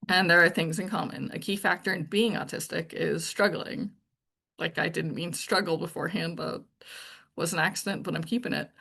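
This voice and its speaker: A woman talks to her viewers in an even, monotone voice, blunt and direct. Her tone carries gravel and a slightly breathy husk. She thinks aloud, circling back and restarting as she goes. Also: low-pitched. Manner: blunt and to the point. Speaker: channel host. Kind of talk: casual true-crime commentary monologue.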